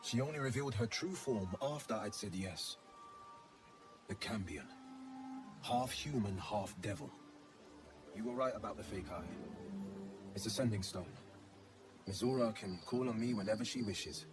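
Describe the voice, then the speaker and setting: An actor voicing an earnest, haunted heroic warlock telling a tale in a brooding way, in a video game. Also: male voice, fairly low pitch, moderate pace, long pauses, over music, natural voice. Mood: regretful. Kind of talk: dramatic video game monologue.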